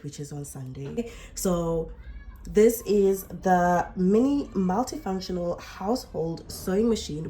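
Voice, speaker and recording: A woman's voice: fairly low pitch, a little mellow, slight background noise. A young woman hosting a casual sewing tutorial, chatty and correcting herself, a little unwell with a coming flu. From South Africa. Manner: chatty, self-correcting explainer. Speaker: sewing channel host.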